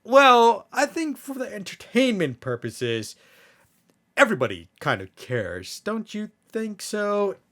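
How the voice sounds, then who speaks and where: A man's voice: sits high, wildly swooping pitch, takes it slowly, fairly bright tone, full character voice. A man playing a chatty, fussy hellhound brother in skit banter, explaining and coaxing in a hesitant way.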